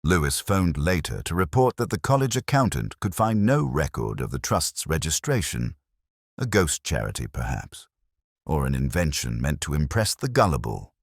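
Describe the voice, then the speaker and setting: Man acting as older detective, audio mystery narrator, extremely low pitch, expressive swoops, measured, literary, melancholy. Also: gravelly, dry recording, studio quality, exaggerated voice. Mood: suspicious, pensive. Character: world-weary, brooding older male English detective narrating his case. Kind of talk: scripted first-person mystery audio drama narration.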